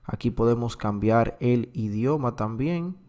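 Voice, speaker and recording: A man who is a native Spanish speaker, slowly explaining an app on screen with many filler words. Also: mid-range voice, lively intonation, dark tone. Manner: slow, filler-heavy explaining. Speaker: crypto platform reviewer. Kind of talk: screen-recorded walkthrough.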